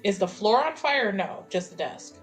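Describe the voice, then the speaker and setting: A woman's voice: mid-range voice, normal speed, soft background music. A woman playing a tabletop game, chatting casually and expressively about what her character does. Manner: expressive, sweary, informal.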